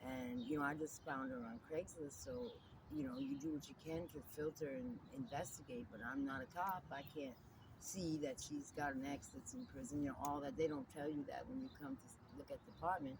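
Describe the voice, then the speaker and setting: A woman's voice: low voice, brisk, creaky. A middle-aged woman with a New Jersey accent, talking candidly and animatedly in an interview. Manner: chatty and animated, calls the listener girl. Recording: distant mic with loud outdoor noise.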